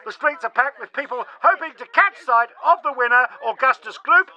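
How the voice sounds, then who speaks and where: Flustered TV reporter. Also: male voice, extremely high pitch, fairly quick, bright, forceful tone, full character voice. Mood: eager. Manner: flustered, stammering. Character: bumbling male TV reporter squeezing through crowd. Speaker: news reporter.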